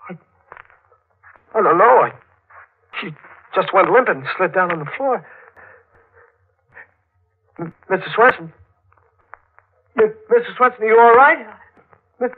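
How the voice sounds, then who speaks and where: An actor playing a panicky young newlywed husband in an agitated, slangy 1940s radio mystery style. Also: male voice, sits high, lively intonation, a little slow, pauses often, husky, exaggerated voice. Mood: stunned, panicked. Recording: noisy, narrow-band old radio recording.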